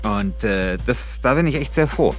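A man's voice: medium pitch, animated, normal speed, faint music behind. A man hosting a podcast, moving between casual chat and scripted narration. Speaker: podcast host and author.

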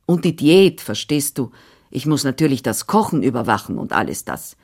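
A woman's voice: rumbling low, expressive, moderate pace, darkish tone, slightly rough, exaggerated voice. A woman reading the part of a stout older housekeeper who is firm, chatty and managing. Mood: bossy.

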